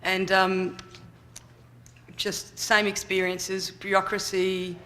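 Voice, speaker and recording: A man's voice: sits high, monotone, slow pace, a little hiss or hum. A man who leads a youth services group, telling his story at a conference in a big, chatty voice with lots of fillers. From Australia. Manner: loud, chatty, many fillers. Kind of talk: conference talk. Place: conference hall.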